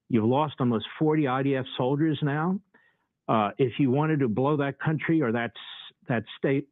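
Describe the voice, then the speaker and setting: A man's voice: mid-range voice, no echo. An older man hosting a TV interview, asking pointed, indignant questions of a guest. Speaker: TV host. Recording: tinny broadcast audio re-recorded.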